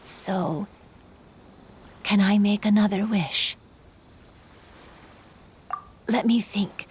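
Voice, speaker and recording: Slow, gentle, wistful female yokai spirit. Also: medium pitch, fairly even intonation, slightly rough. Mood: tender, hesitant. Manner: soft-spoken, reflective, earnest, slightly formal. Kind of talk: video game voice acting, sentimental monologue.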